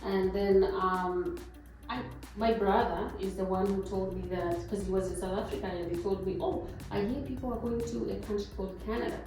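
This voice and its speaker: A woman's voice: mid-range voice, fairly even intonation, a little fast, dark tone. Middle-aged lady, cheerful and chatty, big echo, sounds far from the mic. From Zimbabwe. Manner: cheerful, laughs often. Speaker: immigration lawyer. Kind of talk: conversational storytelling. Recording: echoey room, far from mic.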